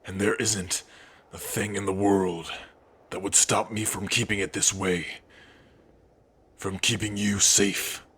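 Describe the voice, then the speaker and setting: A man playing a big, devoted, protective orc husband in an intimate ASMR roleplay, speaking tenderly in a husky, breathy voice with sighs and pauses. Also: lowish voice, flat delivery, fairly bright tone, heavily acted. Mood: devoted, earnest. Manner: slow, tender, sighing, with pauses.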